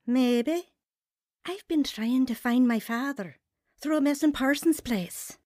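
A woman's voice: high voice, slow, darkish tone, no echo, clean studio sound. An actress playing an earnest, wistful woman telling of her lonely youth in a radio drama. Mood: sad, homesick. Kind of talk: first-person radio drama narration and dialogue.

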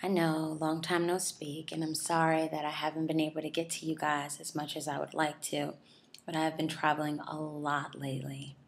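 A woman's voice: deep voice, level tone, moderate pace, vocal fry. A woman in her twenties from New York City, a model, chatting in a friendly way as she answers viewer questions. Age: 20s. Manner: friendly, chatty, laughs often. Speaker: model and charity ambassador.

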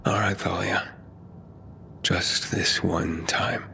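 An actor playing a guarded but kind male survivor in a video game, terse and understated, with a gravelly voice. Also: deep voice, steady tone, takes it slowly, bright, forceful tone, a little hiss or hum, exaggerated voice. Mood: earnest, reassuring. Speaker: game protagonist. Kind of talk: video game cutscene dialogue.

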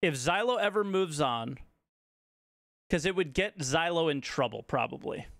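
A man's voice: a little high, lively intonation, normal speed, creaky, a bit bright, no room sound, studio quality. A man giving casual, conversational reaction commentary as a streamer, musing as he goes.

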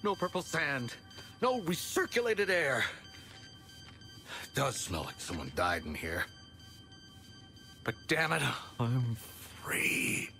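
An actor playing an exasperated, haughty genius villain in a video game, speaking grandly and theatrically with precise diction. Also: male voice, a little high, wildly swooping pitch, takes it slowly, long pauses, pushed and bright, soft background music, heavily acted. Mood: relieved, intense. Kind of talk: video game cutscene acting.

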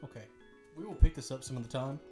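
A man's voice: medium pitch, fast, over music. A man streaming a game, talking with lots of fillers, his voice strained from hours of reading dialogue aloud.

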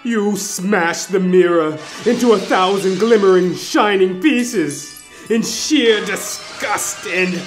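A man narrating a horror audio play in a theatrical, drawn-out way that moves between hushed and screaming. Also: high voice. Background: music.

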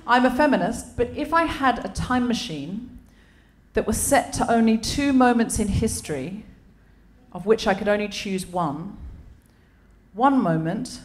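A woman's voice: on the high side, measured pace. A woman in her forties with an English accent, hosting a comedy podcast on a theatre stage in front of a live audience, chatty and self-mocking. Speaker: podcast host.

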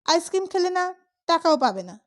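A woman's voice: extremely high pitch, sing-song, moderate pace. A woman storyteller voicing a cranky, bossy elder brother who scolds and blusters, in an audio short story. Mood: gruff, indignant.

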